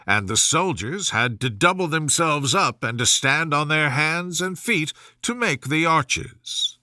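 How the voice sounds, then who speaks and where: Bright, animated male storyteller. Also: on the high side, no room sound, clean studio sound. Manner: expressive storyteller. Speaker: story narrator. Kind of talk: scripted children's bedtime story narration.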